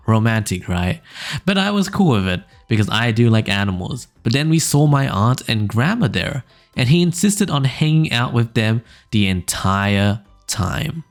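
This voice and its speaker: A young man hosting a casual podcast, reading funny stories aloud in a smooth low voice, rambling with fillers and small laughs. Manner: relaxed, rambling, frequent fillers and little laughs. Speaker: podcast host. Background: faint background music.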